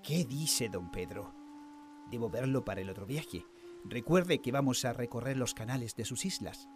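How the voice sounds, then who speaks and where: A man's voice: mid-range voice, expressive, normal speed, music in the background, close to an everyday voice. An actor playing a concerned, courteous male naval explorer in a radio drama, formal and earnest. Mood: concerned, insistent.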